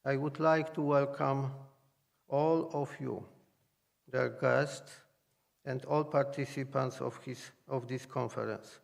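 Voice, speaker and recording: Slow gravelly man giving a flat welcome. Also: mid-range voice. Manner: slow, flat, read-out delivery. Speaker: conference host giving a welcome. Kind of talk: formal welcome address.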